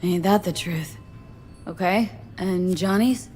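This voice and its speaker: A woman's voice: lowish voice, slow pace, a little mellow, faint music behind. A woman playing a weary, wry, street-tough mercenary in a video game, talking in a dry, casual, clipped way. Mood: wry, uneasy.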